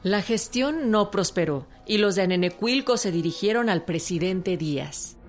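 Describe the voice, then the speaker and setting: A woman's voice: mid-range voice, lively intonation, moderate pace, soft background music. A woman, narrating a scripted historical radio drama.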